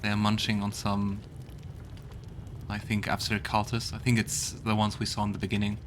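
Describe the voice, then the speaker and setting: A man's voice: on the low side, monotone, moderate pace, noisy background. A man hosting a let's play, giving casual gaming commentary and thinking aloud in a conversational way. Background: loud game music.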